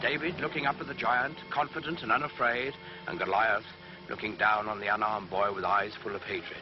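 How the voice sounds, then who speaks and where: A man narrating a scripted children's Bible story in a measured, theatrical, emphatic storytelling manner. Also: fairly low pitch, steady tone. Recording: dull lo-fi recording, loud music behind. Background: loud music.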